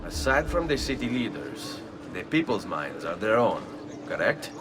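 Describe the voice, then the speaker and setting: An actor playing a bold young Italian assassin, speaking with an Italian accent in a steady, determined way. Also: male voice, mid-range voice, moderate pace, distant mic, over music, lightly acted. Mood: planning. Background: orchestral score.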